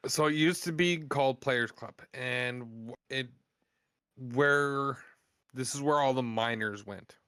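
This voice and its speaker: A man in his thirties with a bright, forceful voice, swearing freely as he rambles through stories in casual chat. The sound is close and dry, with no room around it. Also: mid-range voice, takes it slowly, smooth, no room sound. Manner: heavy swearing, loose storytelling. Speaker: podcast co-host.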